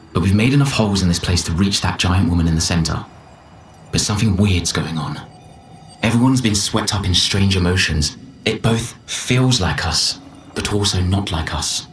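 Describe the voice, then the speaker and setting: Game role: low-voiced, worn-out expedition diarist, confiding and halting, echoing space with music behind. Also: male voice, fairly bright tone. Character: weary, despairing expedition member recording a journal. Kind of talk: dramatic game voice acting, reflective monologue. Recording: roomy echo under music.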